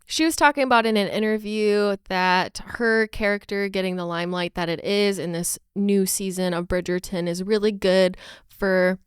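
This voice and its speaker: Smooth-voiced, expressive woman chatting casually. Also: mid-range voice, moderate pace, dry recording, studio quality. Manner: enthusiastic, expressive. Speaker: podcast co-host.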